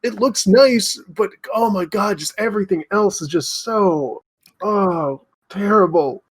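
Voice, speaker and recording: A man's voice: high-pitched, unhurried, slightly rough. A man co-hosting a podcast in casual, informal chat with an amused manner. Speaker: podcast co-host.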